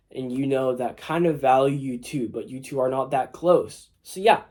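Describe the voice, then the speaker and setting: A man's voice: medium pitch, a little mellow. A young man in his late teens with a mild cold, giving casual, rambling self-improvement advice to his viewers. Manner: informal, loose and rambling, full of "you know". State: slightly sick with a mild cold. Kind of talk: casual advice vlog to camera.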